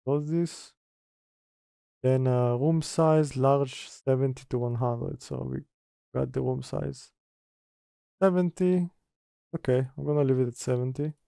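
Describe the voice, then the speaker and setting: Slow, gravelly man giving a software tutorial. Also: medium pitch, dry recording, studio quality. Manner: halting, mutters step by step while working. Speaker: tutorial presenter. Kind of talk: informal screen-recorded software tutorial, partly read from notes.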